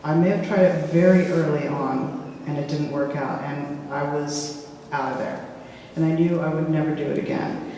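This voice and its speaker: A woman filmmaker speaking casually in a public discussion, halting and searching for words with frequent restarts. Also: low voice, fairly even intonation, dark tone. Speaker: filmmaker in a post-screening discussion. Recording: echoey hall from far off, faint hiss.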